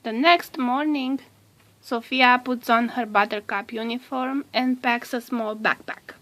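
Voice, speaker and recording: Slow, higher-voiced woman reading a storybook. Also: fairly even intonation, fairly bright tone. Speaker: storybook narrator. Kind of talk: read-aloud children's storybook narration.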